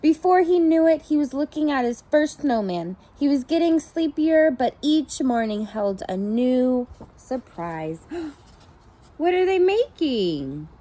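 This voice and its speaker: A woman reads a children's picture book in a high voice. She takes it slowly, like a preschool teacher, with animated storytelling and small asides for the young listeners. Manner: pauses to comment and question the children. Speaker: preschool teacher reading aloud.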